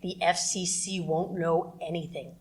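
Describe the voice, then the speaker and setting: A woman playing a brisk, persuasive radio station manager in an audio drama, casual and confident. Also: on the low side, steady tone, darkish tone, natural voice. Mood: breezy.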